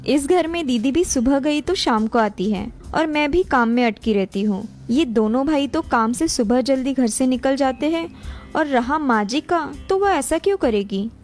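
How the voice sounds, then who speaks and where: A woman's voice: high voice, lively intonation, moderate pace, darkish tone, soft background music. A woman voicing a soothing young mother and dutiful daughter-in-law in a cartoon story. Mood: worried.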